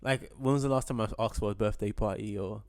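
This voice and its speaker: A man's voice: lowish voice, fast talker, dry recording, studio quality. A man co-hosting a podcast with his wife, talking in an easygoing way and often repeating words as he thinks. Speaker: podcast co-host. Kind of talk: casual chat.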